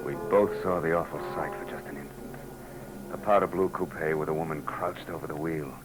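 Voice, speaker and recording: An actor playing a tough, world-weary private detective in a terse, wry 1940s radio drama style. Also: male voice, very deep voice, fairly even intonation, a little fast, music in the background. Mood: grim narrating. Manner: hard-boiled, terse, wry. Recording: noisy, humming 1940s radio tape transfer.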